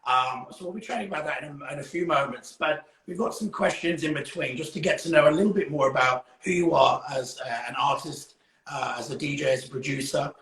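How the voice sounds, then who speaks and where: A man with a gravelly voice hosting a casual live music interview in an effusive, exclaiming manner. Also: a little high, fairly quick, a bit bright, roomy sound, low-quality recording. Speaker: interview show host. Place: indoors, live social media stream.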